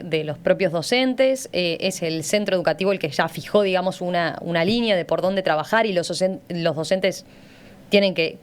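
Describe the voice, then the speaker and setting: A woman's voice: fairly low pitch, smooth. A woman with a Uruguayan accent hosting a conversational radio interview from a studio. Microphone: studio microphone.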